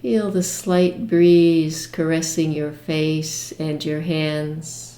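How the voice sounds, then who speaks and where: A woman leading a guided meditation with imagery, speaking in a gentle, soothing manner with long pauses. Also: deep voice, level tone, reverberant.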